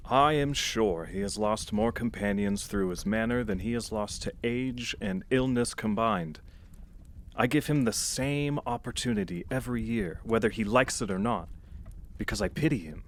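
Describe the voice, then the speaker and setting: An actor playing a cheerful, warm-hearted nephew in an audio drama, speaking in a jovial, earnest manner. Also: male voice, fairly low pitch, moderate pace, close to an everyday voice. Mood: earnest, merry.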